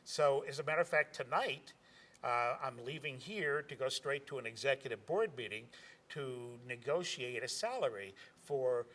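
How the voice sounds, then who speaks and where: A man who directs a theater answers interview questions on stage. His voice is mid-pitched, and he hesitates often, filling the gaps and chuckling. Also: lively intonation, normal speed, a bit bright. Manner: hesitant, lots of fillers, chuckles.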